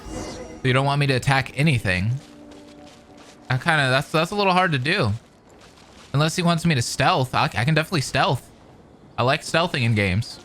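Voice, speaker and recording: Bright-voiced man reacting to a game. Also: mid-range voice, brisk, pauses often. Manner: expressive, exclamatory, thinking aloud. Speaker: streamer, game commentator. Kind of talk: casual live reaction commentary on a video game. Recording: game music behind the voice.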